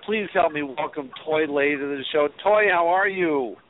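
A man hosting a talk radio interview, speaking haltingly and repeating words as he goes. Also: a little high. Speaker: radio show host. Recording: tinny, phone-like radio audio.

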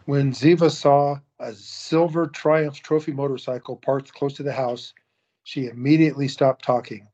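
Male narrator, steady and measured. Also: mid-range voice. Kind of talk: audio drama narration.

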